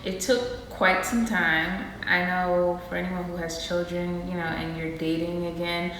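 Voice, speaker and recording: Bright-voiced woman in her thirties, chatty. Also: on the low side, measured pace. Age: 30s. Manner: animated, laughing, dramatic exclamations. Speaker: vlogger and co-host. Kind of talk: casual couples Q&A chat. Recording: roomy echo with faint noise.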